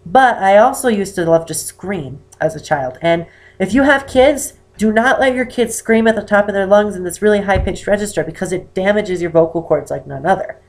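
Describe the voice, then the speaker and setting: Young guy, 18, voice really high for a man, kinda strained from damaged cords, lots of ums, chatting to camera in his room. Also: normal speed. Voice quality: unusually high-pitched voice for a man. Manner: rambling, with many "um"s and self-corrections. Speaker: vlogger. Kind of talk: casual personal talk to camera. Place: indoor room with poor lighting.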